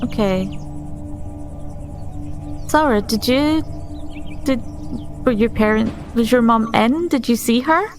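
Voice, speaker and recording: A woman playing a tabletop game, improvising in character in a deliberate, questioning manner. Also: fairly high pitch, soft background music. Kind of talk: improvised in-character role-play.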